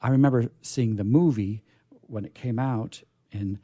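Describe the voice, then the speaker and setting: A man's voice: mid-range voice, unhurried, dark tone, no echo. A middle-aged or older man hosting a radio show, chatting casually and enthusiastically in a rambling, self-deprecating way. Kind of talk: casual unscripted event chat.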